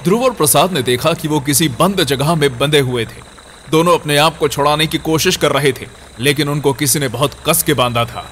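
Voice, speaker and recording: A man's voice: on the high side, normal speed, a bit bright, slight background noise. A man narrating a scripted horror story for an audio drama.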